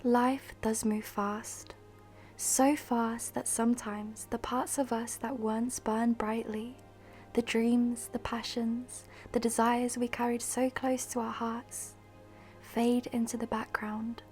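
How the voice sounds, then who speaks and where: A woman guiding a meditation in a soft, breathy, half-whispered voice, soothing and measured, with long pauses. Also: fairly high pitch, level tone. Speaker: meditation guide. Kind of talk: guided meditation, scripted narration. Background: music.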